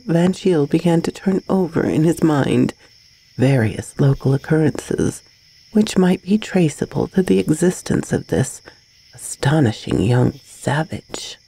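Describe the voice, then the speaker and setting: A woman reading a gothic bedtime story as scripted narration, in a husky, breathy voice with a measured, dramatic delivery. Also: deep voice, mellow, poor audio.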